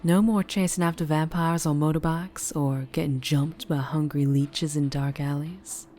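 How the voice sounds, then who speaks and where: A self-assured Southern vampire woman, acted by a female performer, speaking low over clear music. She is flirtatious and unhurried, teasing with pet names in an ASMR audio roleplay. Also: fairly even intonation, warm tone, close to an everyday voice. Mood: teasing, sly.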